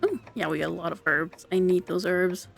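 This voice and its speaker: A woman's voice: fairly low pitch, creaky. Giggly young Austrian woman streamer. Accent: Austrian German. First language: German. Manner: chatty, giggly, halting with restarts. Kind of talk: casual live-stream chat. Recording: dry close mic, quiet game music.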